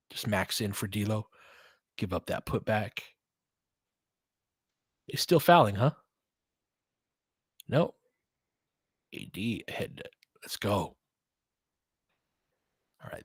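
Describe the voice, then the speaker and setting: Relaxed young man calling a game live. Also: medium pitch, no echo, clean studio sound. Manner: relaxed, conversational, answers chat by name. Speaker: host of a live game watch-along stream. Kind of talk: casual live play-by-play and chat with viewers.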